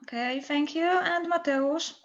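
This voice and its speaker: High-voiced woman from Poland, muffled. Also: a little slow. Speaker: English teacher. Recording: muffled video call audio.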